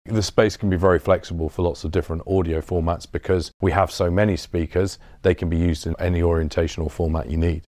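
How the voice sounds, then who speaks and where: A man in his thirties with a deep voice gives an informal, explanatory product tour. The sound is very dry, from an acoustically treated showroom. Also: little pitch movement, fast, few pauses, a little mellow, no room sound. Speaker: business development director giving a showroom tour.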